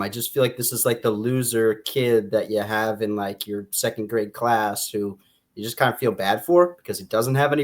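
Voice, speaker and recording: An American man talks casually as a podcast co-host discussing a book. He speaks in a conversational, halting way, often circling back to rephrase what he means. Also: mid-range voice.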